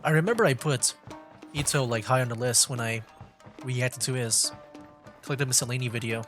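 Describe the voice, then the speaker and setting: A man's voice: mid-range voice, moderate pace, fairly bright tone. A man giving casual, rambling gameplay commentary as a streamer, thinking aloud as he plays. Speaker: game streamer. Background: game music.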